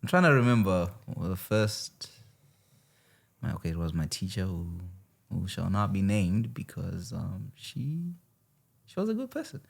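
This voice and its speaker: A man's voice: a little slow, creaky, breathy edge. A man hosting a podcast in casual chat, playful and teasing. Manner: playful, teasing, argues with animated pitch swings. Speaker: podcast host.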